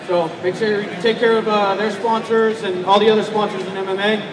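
A man's voice: high-pitched, flat delivery, fairly quick. A man hosting a live event demonstration, putting questions to a guest and making announcements to the audience. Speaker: demo host. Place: large indoor expo hall. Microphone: distant handheld camera. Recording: echoey expo hall, distant, crowd noise.

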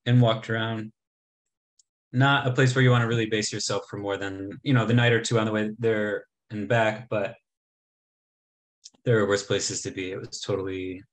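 A man's voice: on the low side, fairly bright tone. A man in his thirties giving a casual travel slideshow talk over a video call, chatty, with many ums and restarts. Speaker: travel presenter. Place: indoor room, remote talk. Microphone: webcam video call.

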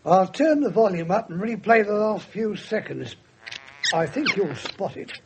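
A man's voice: high voice, animated, measured pace. A man playing a matter-of-fact police officer in a radio drama, explaining things in a businesslike way. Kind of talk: 1970s radio drama acting. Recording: faintly noisy old radio recording.

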